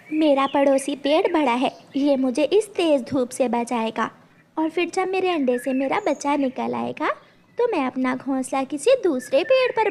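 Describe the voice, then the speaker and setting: A woman voicing an earnest, gentle mother bird in a children's cartoon. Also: sits high, a little hiss or hum. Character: gentle, brave mother bird telling her daughter memories. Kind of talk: Hindi children's cartoon storytelling.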